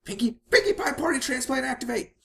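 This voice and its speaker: A narrator voicing a hyperactive, bubbly and bossy young female cartoon pony in an excitable, playful way. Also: high-pitched, brisk, vocal fry, voice pushed up, exaggerated voice. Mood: determined.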